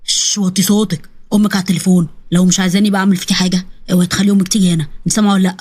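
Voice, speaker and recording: A woman's voice: fairly low pitch, level tone, rapid-fire, darkish tone, voice pushed down, natural voice. A woman voicing an abusive, threatening husband with an Egyptian Arabic accent and a harsh, scolding manner. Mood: hushed threat.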